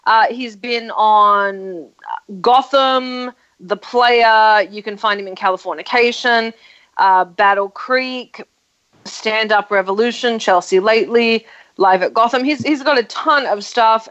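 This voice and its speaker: A woman's voice: a little high, a little slow, a bit bright, lo-fi sound. Upbeat Australian woman hosting radio talk. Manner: chatty and upbeat, laughs easily. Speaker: talk show host. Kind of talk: casual radio chat.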